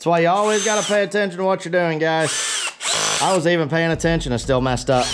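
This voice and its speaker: Higher-pitched easygoing man over noisy shop tools. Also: fairly even intonation, fast talker. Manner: easygoing, self-deprecating, addresses viewers as guys. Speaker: DIY metalworking video host. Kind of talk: casual hands-on shop tutorial chat. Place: cluttered sunlit forge workshop. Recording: clear power tool and workshop noise. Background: drill and tool noise.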